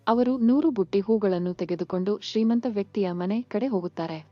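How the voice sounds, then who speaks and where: A woman narrates a children's story from a script. Her pitch is medium, and the recording is a little dull with soft music underneath. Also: brisk, no room sound. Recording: muffled lo-fi sound. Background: faint music.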